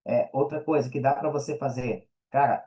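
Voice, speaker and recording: A man's voice: medium pitch, fairly even intonation, normal speed, warm tone. A Brazilian man chatting casually as an interview guest, explaining things in slangy, informal speech and often checking that he is understood. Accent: Brazilian Portuguese. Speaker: podcast guest.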